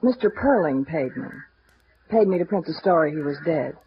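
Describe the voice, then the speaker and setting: A woman's voice: sits low, lively intonation, normal speed, over loud music. An actress playing a guarded young female newspaper reporter in a 1950s radio drama, giving short, evasive answers. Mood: confessing. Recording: muffled old radio transcription with hiss.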